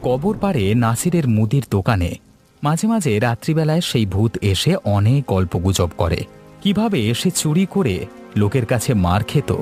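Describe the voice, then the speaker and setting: A man's voice: mid-range voice, sing-song, normal speed, a little mellow, soft background music. A man reading scripted narration for a cartoon story as its storyteller.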